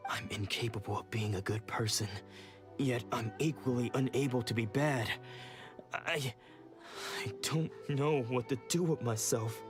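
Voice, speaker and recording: A man's voice: medium pitch, a little slow, close to an everyday voice. An actor voicing a despondent, self-doubting swordsman in a video game, with brooding, regretful, dramatic delivery. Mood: lost, despairing. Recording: game music behind the voice.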